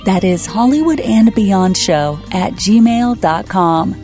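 A woman's voice: medium pitch, lively intonation, slow, mellow, soft background music. A woman reading a scripted promotional announcement for a podcast.

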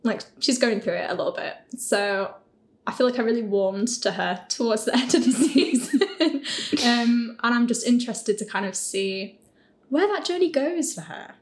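A woman's voice: fairly high pitch, animated, moderate pace. A woman chatting casually as a guest in a living room, informal and giggly. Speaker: voice actor and composer guest. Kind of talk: casual chat.

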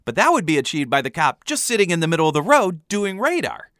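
A precocious, stubborn boy from a comic family story, as a man acts him out. The voice is pushed high and bright. He argues insistently, with animated, indignant swings. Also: fast, dry recording, clean studio sound. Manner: insistent, argumentative, high-strung.

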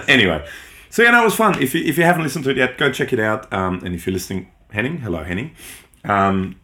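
A man's voice: mid-range voice, expressive, fairly quick, sharp tone. A middle-aged man who grew up in Austria with German as his first language, co-hosting a podcast in casual, rambling chat full of ums and restarts. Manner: rambling, many ums and self-corrections. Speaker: podcast co-host.

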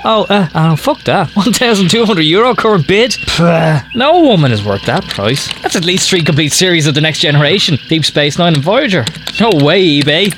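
A man's voice: fairly high pitch, big pitch swings, moderate pace, background noise. A man playing a nerdy, sarcastic college student who talks like a pompous starship commander in an audio comedy drama. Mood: grumbling. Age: young adult. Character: nerdy sci-fi obsessed male college student, sarcastic and prickly. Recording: music under the voice.

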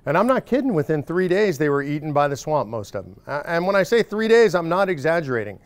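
Man, 40s, loud, joking, speech to supporters, smooth, dry sound. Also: on the high side, big pitch swings, fast, no echo. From Northern California. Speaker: speaker addressing supporters. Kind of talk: casual stump speech. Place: room with live audience.

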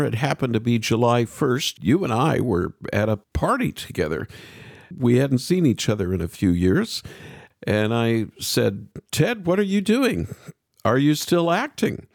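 A man's voice: mid-range voice, vocal fry, no echo, studio quality. A man hosting a faith podcast, delivering introductions and interview questions in a radio style.

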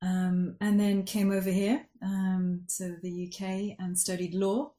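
A woman's voice: mid-range voice, little pitch movement, unhurried, dark tone. A woman from Switzerland who works as a life coach and part-time lawyer. She talks through her answers thoughtfully, with frequent restarts and "um"s, over a video call. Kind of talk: conversational interview answers.

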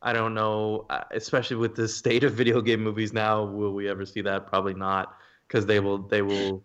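A man co-hosting a casual podcast discussion, talking earnestly and haltingly as he thinks aloud. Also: lowish voice, monotone, fairly bright tone, clear, resonant tone, lo-fi sound. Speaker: podcast co-host.

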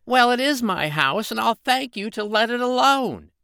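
A man voicing a hot-tempered little old male fairy with a long beard, in a storybook reading, pitched far above his own voice. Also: expressive, moderate pace, gravelly, smooth, no echo, clean studio sound, full character voice. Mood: angry. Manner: shrill, sharp-tongued.

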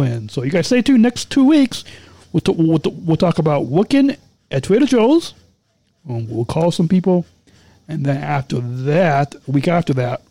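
Male podcast co-host, high mellow voice, dry close sound, cheerful rambling sign-off. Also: no echo. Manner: repetitive, stumbling, upbeat.

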